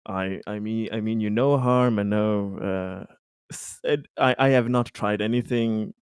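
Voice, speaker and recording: A man acts out a male company boss in a sci-fi tabletop game, on the low side and closely recorded with little room sound. He stammers and backtracks, earnest, placating and faintly shifty. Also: clean tone, dry recording, clean studio sound. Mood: apologetic, defensive. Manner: halting, stammering, apologetic. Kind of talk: improvised tabletop roleplay dialogue.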